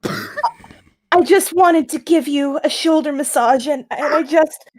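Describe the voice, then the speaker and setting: A woman playing a jealous, overbearing girlfriend in an online apology skit, halting and earnest as she justifies herself. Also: high-pitched. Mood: contrite, pleading. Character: jealous, overbearing young girlfriend making a tearful-sounding apology.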